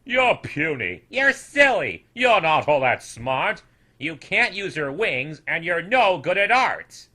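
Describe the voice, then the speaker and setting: Man voicing smug fantasy townsfolk bully, cruel, taunting, loud, high swinging pitch, emphatic, storybook reading. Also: big pitch swings, slow, full character voice. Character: jeering crowd of town snoodles, cruel, smug bullies.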